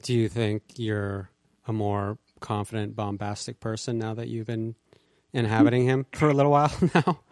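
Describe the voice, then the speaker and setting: Low-voiced male interviewer, measured and probing. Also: steady tone, darkish tone, dry recording, clean studio sound. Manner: measured, probing questions with restarts. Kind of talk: interview conversation.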